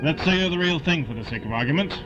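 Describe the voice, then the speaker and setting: A man's voice: fairly high pitch, lively intonation, fast, close to an everyday voice. A man playing a stern, suspicious army colonel in an audio drama, clipped and commanding. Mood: skeptical. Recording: dull lo-fi with loud music behind.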